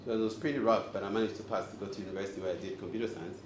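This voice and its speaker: Man from Zambia, forties, deeper voice, playful speaker quizzing audience, roomy echo, background noise. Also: steady tone, racing. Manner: joking and engaging, quizzes the crowd. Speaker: host and speaker. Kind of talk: live talk to an audience. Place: event room with audience. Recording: echoey room, audible noise.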